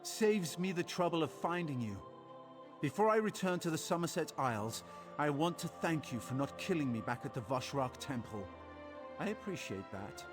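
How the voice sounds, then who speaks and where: A man's voice: a little high, lively intonation, moderate pace, close to an everyday voice. An actor playing a courteous, wry male former foe in a video game, with a polite and dryly droll manner. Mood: wry, grateful. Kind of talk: scripted video game dialogue. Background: game music.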